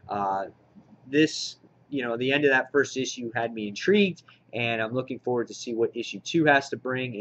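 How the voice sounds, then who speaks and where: A muffled, lo-fi recording of a man with a mid-pitched voice. He talks off the cuff as an enthusiastic fan, addressing his viewers directly in a casual review. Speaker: comic book reviewer, channel host. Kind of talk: casual unscripted haul review to camera. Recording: dull, lo-fi home recording.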